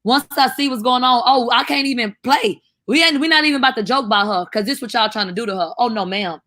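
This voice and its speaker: A woman's voice: a little high, fast, bright, forceful tone, dry recording. A woman co-hosting a call-in talk show, speaking bluntly and casually, calling callers baby. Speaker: co-host.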